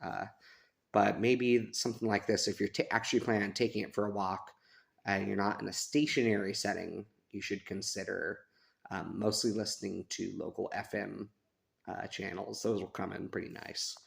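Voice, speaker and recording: A man with a fairly low voice and a lot of creak gives a casual hands-on review. He talks in a chatty, rambling way with a self-deprecating streak, like a hobbyist reviewer. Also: steady tone, moderate pace. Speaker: radio reviewer. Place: sunroom at a house.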